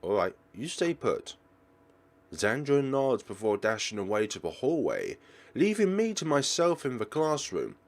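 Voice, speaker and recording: A man hosting a let's play, reading visual novel narration aloud in a theatrical, expressive way. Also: mid-range voice, measured pace.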